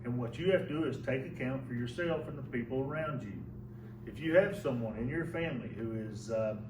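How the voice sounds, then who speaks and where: A man with a loud voice, giving plain-spoken, folksy advice as a local official. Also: medium pitch, brisk. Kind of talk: public address. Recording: echoey room, mic far off, background noise.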